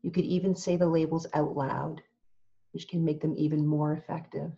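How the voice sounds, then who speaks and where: A woman teaching meditation in a calm, gentle, measured way, leaving long pauses as she gives instructions. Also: low-pitched, gravelly, lo-fi sound.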